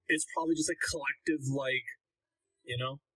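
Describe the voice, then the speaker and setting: A man's voice: mid-range voice, steady tone, moderate pace, pushed and bright, rough recording. A young man hosting a casual livestream Q&A. He reads chat questions aloud and answers them bluntly and ramblingly, with plenty of "like" fillers. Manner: blunt, rambling, heavy use of "like".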